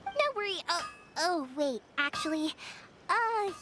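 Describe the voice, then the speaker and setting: Anime game fireworks girl: very high, bubbly, animated young woman, chatty and eager. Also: unhurried, background noise. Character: cheerful, energetic young woman fireworks maker. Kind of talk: anime-style game voice acting.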